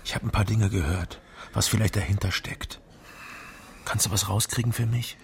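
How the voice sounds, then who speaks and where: Low, gravelly laconic detective. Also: male voice, fairly even intonation, normal speed, a little hiss or hum. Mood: guarded. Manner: dry, terse, understated. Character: laconic East Berlin private detective, adult man, dry and probing. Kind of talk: naturalistic modern radio crime drama acting.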